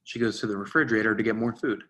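A man's voice: fairly low pitch, steady tone, rapid-fire, a bit bright. A man reading stage directions as scripted narration for a play reading.

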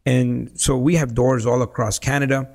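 A man from El Salvador talks real estate as a podcast guest, his voice gravelly and a little husky. He tells stories with energy and leans on "you know" and "right?" as he goes. His pitch sits in the middle for a man. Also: normal speed. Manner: animated storyteller, frequent "you know" and "right?". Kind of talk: casual podcast conversation.

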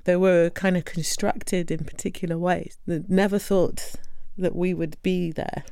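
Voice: medium pitch, little pitch movement, unhurried, creaky, darkish tone, clear, resonant tone, no room sound, clean studio sound. A speaker in a reflective discussion, talking thoughtfully and searching for words.

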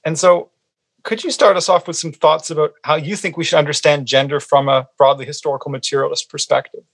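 A man hosting a podcast, asking a guest questions in a polite, slightly halting way. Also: a little high, fairly even intonation, breathy edge, no room sound. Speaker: podcast host.

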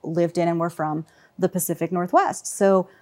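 A woman speaking in a relaxed panel discussion, thoughtful and searching for words, with frequent fillers. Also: fairly low pitch, normal speed. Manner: reflective, many fillers like "you know" and "like". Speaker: panel guest.

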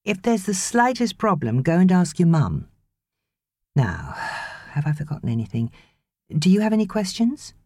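A British woman voicing a brisk, fussing young mother in an audiobook. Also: lowish voice, big pitch swings, a little mellow, dry recording, clean studio sound, voice pushed up. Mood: brisk, instructing. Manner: brisk, instructing.

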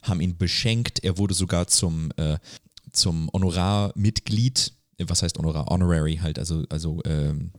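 Low-voiced man telling stories casually. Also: normal speed, dry recording, studio quality. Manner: hesitant, slangy German sprinkled with English words. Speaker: podcast co-host. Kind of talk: casual conversational storytelling.

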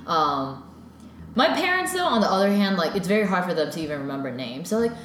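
Young american woman chatting away, low voice, lots of like and you know, echoey room with noise. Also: fast. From United States. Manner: chatty, filler-heavy, animated when quoting parents. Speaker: interviewee. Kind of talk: casual conversation.

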